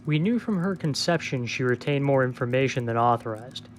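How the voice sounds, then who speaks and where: A guarded male scientist played by a man answers a superior in an audio drama. He is formal, dutiful and restrained, with measured replies at a middle pitch. The recording has a faint noisy hum. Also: animated, close to an everyday voice. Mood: defensive, controlled. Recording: noticeable background hum and noise.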